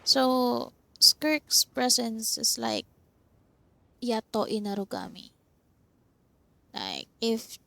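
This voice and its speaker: A woman's voice: fairly high pitch, vocal fry, bright, forceful tone. A woman with a Filipino accent giving casual reaction commentary as she plays a game, sometimes mixing in Tagalog. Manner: mixes Tagalog into English. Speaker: streamer playing a game. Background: game music.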